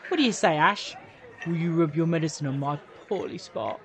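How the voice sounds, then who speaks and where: Man playing a high-pitched cheeky young bloke. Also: fairly quick, some chatter behind, lightly acted. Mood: cheeky, grumbling. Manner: jokey, melodramatic banter. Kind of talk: audio drama dialogue.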